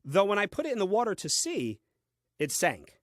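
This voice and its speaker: Animated, exasperated first man played by an actor. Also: sits high, no room sound. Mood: puzzled, pedantic. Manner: deliberate, theatrical, dry and pedantic. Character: exasperated, literal-minded first man Adam, puzzled and grumbling. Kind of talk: comic diary monologue in an audio play.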